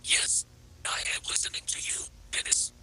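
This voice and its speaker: Sinister whispering AI cat entity. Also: male voice, normal speed, bright, forceful tone. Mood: eerie, grandiose. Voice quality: breathy, unvoiced whisper. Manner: menacing, repetitive, grandiose. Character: sinister godlike cat entity, a conscious rogue artificial intelligence. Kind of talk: analog horror voice acting.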